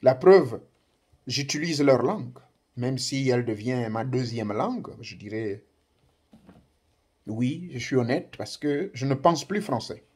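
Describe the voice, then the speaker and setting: A man's voice: mid-range voice, lively intonation, unhurried, darkish tone, breathy edge. A man whose first language is Ngomienne, speaking French in an emphatic, declamatory political address to young listeners. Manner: emphatic, declamatory, rising bursts on key words. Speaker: commentator addressing African youth. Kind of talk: impassioned political address to camera.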